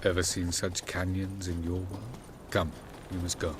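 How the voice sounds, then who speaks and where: A man's voice: low voice, little pitch movement, measured pace, background noise. An actor playing an aloof, ancient male elf sage who speaks in formal, condescending sentences in a video game. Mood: lofty.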